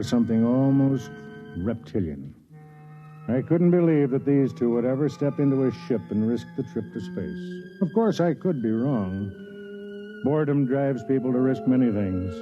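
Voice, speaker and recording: A man acting as an older, wry space station official and narrator in a 1950s radio drama, measured and reflective. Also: medium pitch, lively intonation, lightly acted. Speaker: narrator and lead actor. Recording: muffled vintage radio recording with music.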